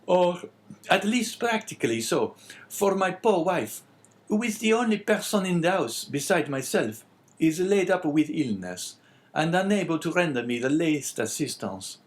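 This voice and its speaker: A man's voice: fairly high pitch, expressive, a little slow, voice pushed up. A man reading an audiobook, voicing a fawning, flustered middle-aged innkeeper who is eager to please. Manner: eager, bowing and apologetic. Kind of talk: audiobook character voice.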